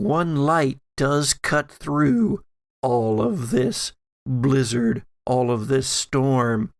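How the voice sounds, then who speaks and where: A man hosting and game-mastering a tabletop podcast, talking in a measured way with a storyteller's flair. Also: medium pitch, gravelly, no room sound, studio quality. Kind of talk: casual chat and narrated game recap.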